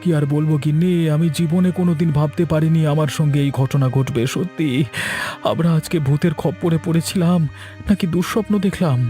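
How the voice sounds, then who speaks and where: Man voicing a mellow, shaken cartoon father. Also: fairly high pitch, fairly even intonation, brisk, soft background music, natural voice. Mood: shaken, sober. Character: shaken middle-aged village father and husband. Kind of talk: Bengali cartoon voice acting.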